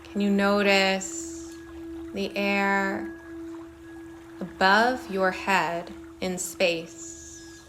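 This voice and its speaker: Very slow-spoken woman guiding meditation. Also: mid-range voice, level tone, over music. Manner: soothing, short phrases with long pauses. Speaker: meditation guide. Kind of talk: guided meditation, body scan. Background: singing bowls.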